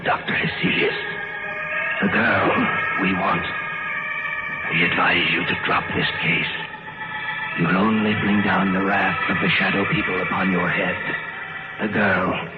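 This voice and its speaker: Deep, menacing shadow creature, old radio. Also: male voice. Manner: slow commanding threats, stilted formal phrasing. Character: menacing malevolent male shadow creature born of darkness. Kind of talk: 1950s radio horror acting. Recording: muffled old radio recording, loud music.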